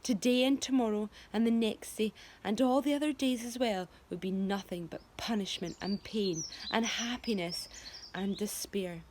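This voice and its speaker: A woman, a teacher, reading a children's book aloud with expressive, storybook delivery and hushed moments. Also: medium pitch, moderate pace, slight background noise.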